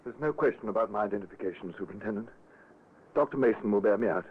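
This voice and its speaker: An actor playing an anxious, grieving, respectable husband in an old radio drama, speaking haltingly. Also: male voice, lowish voice, a little hiss or hum, close to an everyday voice. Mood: shaken, grieving. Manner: halting, breaking off and repeating himself. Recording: thin muffled vintage radio recording.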